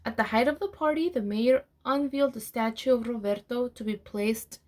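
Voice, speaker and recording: A woman reading a children's storybook aloud in an expressive storyteller's manner, with deliberate pauses. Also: on the high side. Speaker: program administrator reading aloud.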